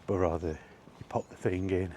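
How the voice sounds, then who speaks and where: A man giving a casual, halting opinion monologue to a vlog audience, rambling along in short phrases while out walking. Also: sits low, steady tone, mellow, slight background noise. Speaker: video blogger. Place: outdoors, walking.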